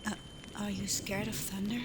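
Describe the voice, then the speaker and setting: A woman voicing a caring, protective female alpha werewolf in an intimate ASMR roleplay, speaking softly, often near a whisper. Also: mid-range voice, level tone, moderate pace, heavy background noise, lightly acted. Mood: gentle concern. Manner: soft, breathy, often near a whisper, soothing. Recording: loud storm ambience over the voice. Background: thunderstorm and rain ambience.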